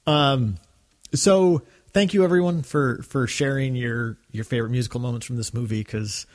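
A man's voice: mid-range voice, normal speed. A man co-hosting a fan podcast, talking casually and chattily with rambling asides. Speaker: podcast co-host.

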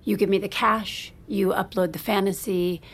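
A woman's voice: mid-range voice, fairly even intonation, moderate pace, gravelly, breathy edge. An actress playing a guarded, strained mother in a naturalistic audio drama. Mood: guarded, firm. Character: guarded, strained middle-class mother, secretly selling fantasies. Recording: background music under dialogue.

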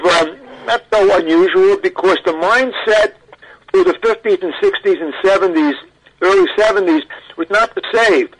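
A man's voice: high voice, fairly bright tone, rough recording. An older American man telling his story conversationally as an interview guest, stressing points emphatically. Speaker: interview guest, audio archivist.

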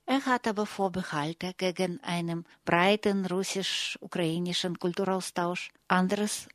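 A woman reading scripted narration for a radio feature in a measured, even way. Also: lowish voice, dry recording.

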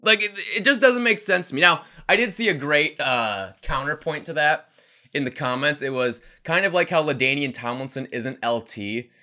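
A man's voice: on the high side, moderate pace, sharp tone. A man in his twenties co-hosting a casual sports podcast, chatty and rambling, laughing at himself. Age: 20s. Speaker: podcast co-host.

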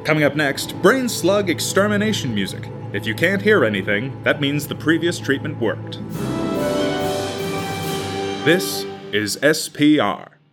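An actor playing a straight-faced public radio announcer, reading scripted announcements in an orderly, deadpan way. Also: male voice, a little high, measured pace. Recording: loud music under the voice. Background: loud music.

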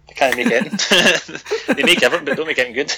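A man's voice: on the high side, very animated, brisk, bright, forceful tone, husky. A man co-hosting a podcast, rambling and self-deprecating, laughing often as he chats. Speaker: podcast co-host. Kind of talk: casual chat.

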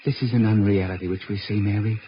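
An actor playing an earnest, poetic young suitor with flowery, coaxing delivery in an old-time radio drama. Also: male voice, fairly low pitch, fairly even intonation, fast, a little hiss or hum, lightly acted. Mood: wooing, poetic. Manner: flowery, romantic, declaiming. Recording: dull old radio recording with music.

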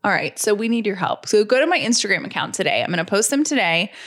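A woman's voice: medium pitch, sing-song, fast talker, a bit bright. A woman chatting casually as a podcast co-host, talkative and full of questions. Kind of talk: casual chat.

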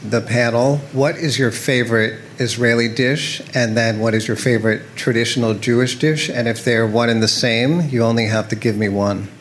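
A man puts questions to a panel as moderator. His voice sits back from the mic. Clear background noise and clicks come through. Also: mid-range voice, steady tone, moderate pace, few pauses.

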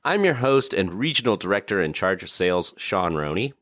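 A man with a slightly low voice hosts a casual podcast. He speaks haltingly, feeling for his words, and the sound is dry with no room echo. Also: very animated, fairly bright tone. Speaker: podcast host.

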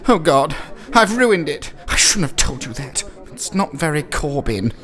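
A man's voice: fairly high pitch, sing-song, a little slow, fairly bright tone, pitched above the performer's own voice. A man voicing an awkward, earnest young lord. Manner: self-pitying, earnest.

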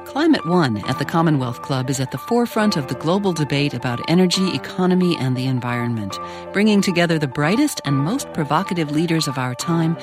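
Low-voiced woman announcer over music. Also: lively intonation, brisk. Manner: polished, upbeat. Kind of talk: scripted promotional voice-over.

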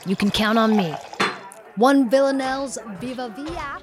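A woman's voice: high voice, a little slow, noisy background. A woman playing a breezy, teasing bartender in an audio drama. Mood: wry, supportive. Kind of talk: naturalistic audio drama acting.

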